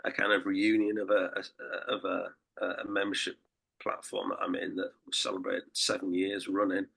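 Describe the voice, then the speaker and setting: A croaky man talking casually on a podcast. His voice sits low and sounds husky. He rambles and circles back on his sentences. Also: level tone, moderate pace, a bit bright, poor audio. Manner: rambling, conversational, with many restarts and fillers. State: croaky voice after a late night. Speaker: podcast co-host and political campaigner. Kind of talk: casual chat.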